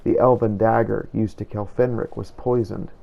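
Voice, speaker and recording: Man playing a low, gravelly, solemn inquisitor. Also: fairly even intonation, dull audio. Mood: grim, resolute. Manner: formal, measured, archaic storybook phrasing. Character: solemn righteous male vampire-hunting inquisitor. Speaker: video game player narrating in character. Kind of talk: scripted first-person roleplay narration.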